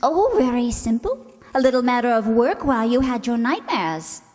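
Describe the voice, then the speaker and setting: Radio play heroine , acted by a woman: young, spirited, anxious or breezily sarcastic, high and very lively pitch. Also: normal speed. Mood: breezy, teasing. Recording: echoey hall, long reverb.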